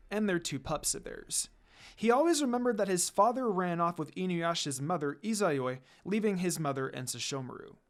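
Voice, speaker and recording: A narrator reading a fan fiction story aloud in a dramatic storytelling style. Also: medium pitch.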